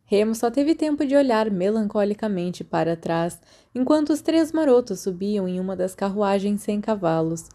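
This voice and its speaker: Brazilian woman reading an audiobook story, soft mellow tone, mid pitch. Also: lively intonation, normal speed, hardly any pauses. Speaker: podfic narrator. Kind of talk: scripted audiobook narration of fan fiction.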